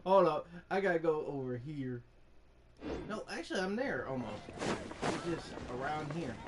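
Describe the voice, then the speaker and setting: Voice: mid-range voice, sing-song, normal speed, very noisy. A gamer giving casual commentary while playing a video game. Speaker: gamer, channel host. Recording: loud game music behind voice.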